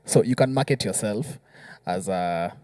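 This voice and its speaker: A man's voice: on the low side, measured pace, dark tone, no room sound, clean studio sound. A Kenyan man giving explanatory advice in a panel discussion, working through examples and often checking that listeners follow. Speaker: musician panelist.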